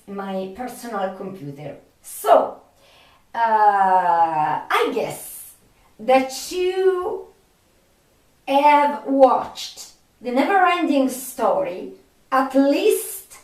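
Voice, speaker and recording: A home video channel where a woman with a non-native English accent haltingly addresses fellow fans in a highish voice, in an echoing room. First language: not English. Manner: halting, drawn-out delivery with pauses. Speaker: video host, film fan. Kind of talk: casual monologue to viewers. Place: home studio. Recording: echoey room, distant microphone.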